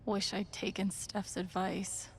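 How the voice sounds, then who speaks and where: A woman playing a wry, quietly observant young video game heroine, speaking her inner thoughts in an understated, dry way. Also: medium pitch, little pitch movement, slow, gravelly, slight background noise. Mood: dry annoyance. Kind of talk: video game character acting, inner monologue.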